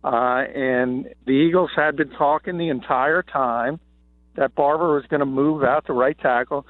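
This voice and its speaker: A slightly hoarse man, an NFL columnist calling in, gives confident, opinionated analysis with many "uh" fillers. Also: medium pitch, fairly even intonation, unhurried, no echo. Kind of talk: sports radio analysis by phone. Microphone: phone. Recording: tinny dry phone line.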